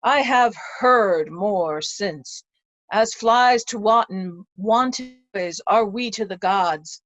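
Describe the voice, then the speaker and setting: Woman acting a slow, despairing blind old nobleman. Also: medium pitch, a bit bright, lo-fi sound. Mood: bitter sorrow. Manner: grave, halting, lamenting. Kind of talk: remote readers' theatre Shakespeare performance.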